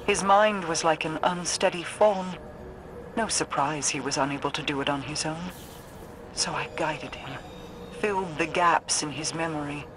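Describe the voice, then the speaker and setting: An actress playing a haughty, cold ancient queen in a game, lecturing formally and with condescension. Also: deep voice. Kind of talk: dramatic game dialogue. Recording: clear background ambience and noise.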